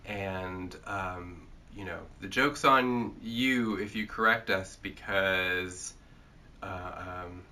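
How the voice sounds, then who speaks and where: A man's voice: deep voice, takes it slowly, pushed and bright. A man hosting a casual chat, congested from a cold, rambling and joking with lots of filler sounds. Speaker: host.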